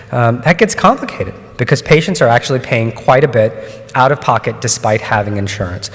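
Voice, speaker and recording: A man, an oncologist, gives a conference talk in a measured, explanatory way, often pausing on ums and restarting phrases. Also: mid-range voice. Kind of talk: conference presentation. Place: lecture hall. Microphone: microphone at a lectern. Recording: long hall reverb.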